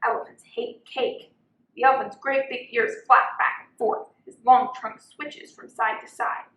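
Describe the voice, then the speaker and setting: A woman's voice: a little high, steady tone, measured pace, a bit bright. A young woman reading a children's picture book aloud as a volunteer storyteller. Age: young adult. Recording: roomy, echoing sound.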